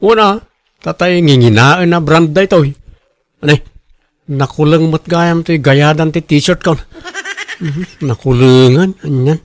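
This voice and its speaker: An actor with an Ilocano accent playing a boastful, defensive husband who loves showing off, in a radio drama. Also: male voice, a little high, a little slow, pauses often, dry recording, lightly acted. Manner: showy, bragging. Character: boastful, defensive husband and co-worker obsessed with costly branded clothes.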